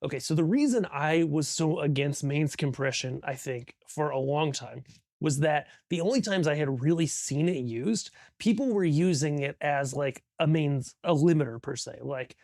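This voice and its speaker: A man's voice: a little high, moderate pace, vocal fry, darkish tone, no echo, clean studio sound. A man explaining things as an audio instructor in an informal, conversational tutorial, thinking aloud as he goes.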